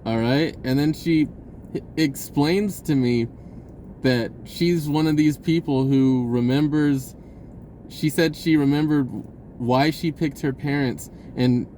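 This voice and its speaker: A man telling a personal story in a casual, rambling vlog style, stammering, blurting and re-enacting conversations excitably. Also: fairly high pitch, takes it slowly, a little hiss or hum. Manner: blurting, self-interrupting, stammering over phrases.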